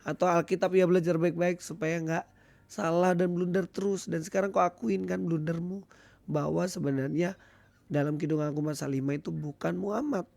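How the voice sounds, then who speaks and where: A hoarse man with an Indonesian accent, giving casual commentary to his viewers as a video host. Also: a little high, fairly even intonation, normal speed, darkish tone, dry recording. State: hoarse, recovering from illness. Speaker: YouTube host.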